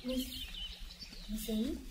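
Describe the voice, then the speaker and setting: A woman playing a sick local woman in an audio drama, with a halting, deliberate, dramatic delivery. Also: a little high, darkish tone, slight background noise. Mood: pleading. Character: sick local woman, pleading, then scornful and defiant.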